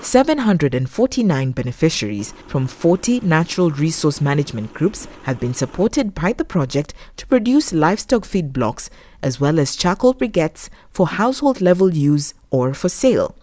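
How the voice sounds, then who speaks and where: Female documentary narrator, low and mellow, lively intonation, measured formal delivery. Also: no room sound. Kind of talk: scripted narration.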